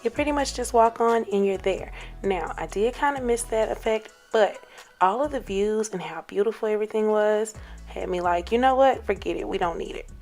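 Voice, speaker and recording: Hoarse, excited woman vlogging. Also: medium pitch, normal speed, faint music behind. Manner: excited, chatty, addresses viewers as y'all. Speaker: travel vlogger. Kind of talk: casual travel vlog.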